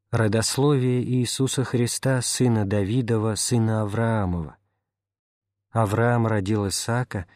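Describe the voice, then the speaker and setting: A man reading a spiritual memoir aloud in the first person, calm and measured, like an audiobook narrator. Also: fairly low pitch, dry recording, studio quality. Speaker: reader.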